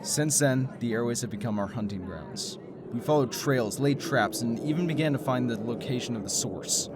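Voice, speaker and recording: A man playing an earnest, anxious male conspiracy theorist in an audio drama, halting and stammering when worried. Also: mid-range voice, noisy background, lightly acted.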